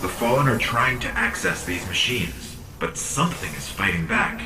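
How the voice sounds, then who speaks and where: A man's voice: medium pitch, huge pitch swings, moderate pace, noisy background. A man voicing a small, earnest robotic AI companion in a video game, briefing in a clipped, urgent way. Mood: focused. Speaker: companion guide. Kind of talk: scripted video game dialogue.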